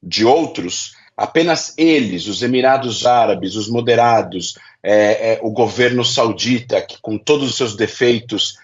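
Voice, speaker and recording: A man's voice: lowish voice, a little slow, few pauses. Male interview guest, Brazilian accent. Analytical, emphatic explainer who repeats key phrases. Dull, lo-fi sound. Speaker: guest specialist on Middle East affairs.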